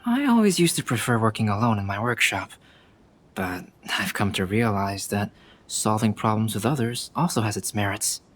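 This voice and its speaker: An actor playing a calm, reflective young male scholar and artist in a video game, reserved and precise. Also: lowish voice, normal speed, slight background noise, close to an everyday voice. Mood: calm, thoughtful.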